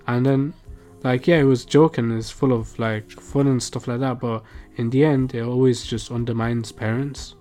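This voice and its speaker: Young British male co-host, casual and slangy, emphatic delivery, medium pitch, soft music underneath. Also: fairly even intonation, normal speed. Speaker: podcast co-host. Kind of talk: casual podcast chat.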